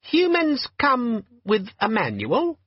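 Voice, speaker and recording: High squeaky pocket demon, petulant know-it-all; dull, muffled audiobook sound. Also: male voice, wildly swooping pitch, slow pace, no echo, full character voice. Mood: sulky. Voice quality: squeaky, cheerful, nagging. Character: squeaky, sulky pocket demon organizer gadget.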